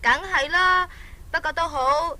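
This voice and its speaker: 超高音尖亮男仔，香港廣東話. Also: female voice, measured pace, sharp tone, heavily acted. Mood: excited. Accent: Hong Kong Cantonese. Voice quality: very high, childlike voice. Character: eager, boyish elder son in a fairy tale. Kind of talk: Cantonese children's radio drama.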